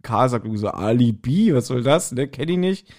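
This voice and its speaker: A man co-hosting a podcast, chatting casually and retelling stories in a colloquial, somewhat halting way. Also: medium pitch, animated, darkish tone. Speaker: podcast co-host. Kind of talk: casual podcast chat, reviewing audio dramas.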